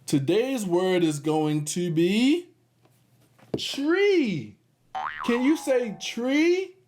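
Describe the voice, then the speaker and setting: Male kids' read-aloud host: very lively intonation, slow clear delivery for little ones, encouraging, chatty asides. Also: high voice, a little hiss or hum. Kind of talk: animated read-aloud for young children.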